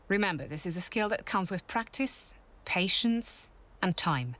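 A woman as a low-voiced, assured warrior. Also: unhurried. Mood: patient, coaching. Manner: calm, assured. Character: confident woman warrior, patient archery mentor, teasing. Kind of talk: audio drama acting. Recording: music behind the voice.

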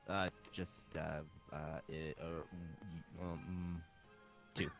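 A man chatting casually while gaming, with halting, hesitant phrasing. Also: low voice, level tone, vocal fry. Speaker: player in an online game session. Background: music.

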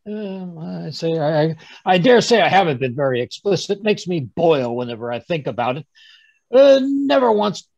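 Guy doing a fussy old man in a radio play, blustery and stammery, creaky voice, dull call audio. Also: a little high, very animated, moderate pace, a little mellow, dry recording, heavily acted. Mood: indignant. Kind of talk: 1930s radio drama acting. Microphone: video call.

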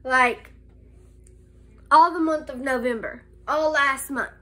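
A young woman with a lingering cold talks into her phone in a small room, her high voice sounding stuffy and nasal. She is bright and clear, but she goes slowly and haltingly, restarting sentences as she chats. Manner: slow, halting, many "um"s and restarts. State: nasal from a cold, slight cough. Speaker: vlogger. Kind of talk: casual life update vlog. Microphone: phone camera held close.

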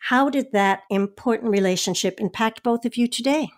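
A woman's voice: a little high, moderate pace. A woman hosting an audio theatre show, reading credits and questions in a scripted style. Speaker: host. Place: remote recording.

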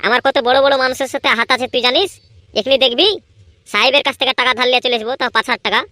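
Woman doing a high, cocky cartoon village lad. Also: fairly even intonation, very fast, bright, forceful tone, dry recording. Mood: boastful. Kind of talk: Bengali comedy cartoon voice acting.

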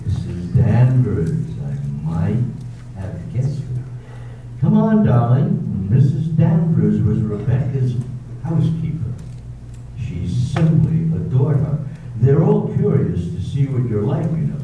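A man's voice: mid-range voice, sing-song, takes it slowly, mellow, lo-fi sound. A man playing a genial, commanding master of a country estate in a staged radio drama. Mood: breezy. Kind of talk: readers-theater radio drama acting. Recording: very echoey, distant, faintly noisy.

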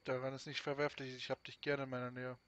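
A man's voice: mid-range voice, level tone, quick pace, fairly bright tone, slight background noise. Muffled man reading game lines. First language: German. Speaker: let's play creator. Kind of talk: reading game dialogue choices aloud.

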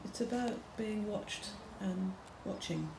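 A woman in her forties, an artist, describing her short films haltingly, with many pauses and fillers. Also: mid-range voice, gravelly, background noise. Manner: hesitant, many ums. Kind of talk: casual explanation of her films. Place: room with bare block walls. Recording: echoey room.

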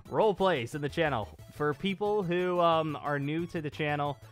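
A man's voice: fairly high pitch, measured pace. A man in his twenties chatting casually and informally in a rambling channel update, as a gaming YouTuber. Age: 20s. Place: small home studio room with acoustic foam. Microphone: desk microphone on boom arm. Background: clear background music.

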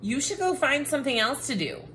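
A woman's voice: high-pitched, lively intonation, a little fast, a bit bright, a little hiss or hum, pitched above the performer's own voice. A woman voicing a cranky, bossy talking picture book, full of dramatic exasperation, in a children's read-aloud. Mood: cranky, exasperated.

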